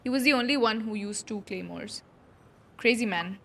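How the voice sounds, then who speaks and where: A woman's voice: fairly high pitch, normal speed, creaky, a little hiss or hum. A woman streamer giving informal live reactions to a game, musing aloud as she watches. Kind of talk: casual live reaction commentary. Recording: faint game music behind.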